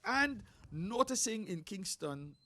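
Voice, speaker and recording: A man in his sixties from Belize, a pastor telling stories in a relaxed interview, animated and quick to laugh. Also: high voice. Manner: animated storyteller, laughs often. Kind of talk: casual interview talk.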